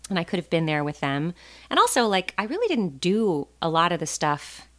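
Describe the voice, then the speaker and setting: An American woman telling stories casually as a podcast guest, animated and joking, with plenty of "like" in her talk. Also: on the low side, fairly quick. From born in Taiwan, raised in a US Navy family. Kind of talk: casual conversational storytelling.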